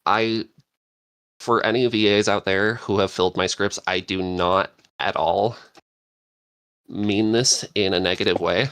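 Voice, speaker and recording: A man's voice: deep voice, a bit bright, dry recording. A man chatting casually as a panelist, rambling and searching for words. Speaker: podcast panelist and scriptwriter. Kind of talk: casual chat.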